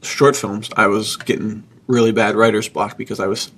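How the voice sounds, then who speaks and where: A man in casual, reflective conversation as a podcast co-host, thinking aloud informally in a gravelly, husky voice. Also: lowish voice, little pitch movement, moderate pace, a bit bright.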